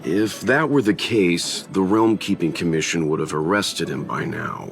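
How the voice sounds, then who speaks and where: A man playing a reserved, serious and courteous investigator in a video game, speaking in a measured, formal way. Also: low-pitched, vocal fry, faint music behind.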